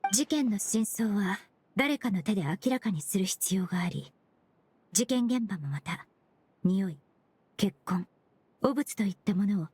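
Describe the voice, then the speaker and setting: A woman voicing a composed, courteous young forensic cleaner in an anime game dub, speaking formally and analytically in measured phrases. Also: medium pitch, darkish tone, slight background noise, lightly acted. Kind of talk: Japanese anime game dubbing.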